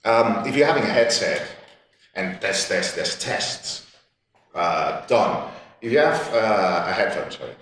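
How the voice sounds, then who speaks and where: A man, speaking as a panellist in a discussion, halting and restarting, repeating words as he searches for them. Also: low-pitched, big pitch swings, a bit bright. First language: Catalan. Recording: echoey gallery room, distant mic.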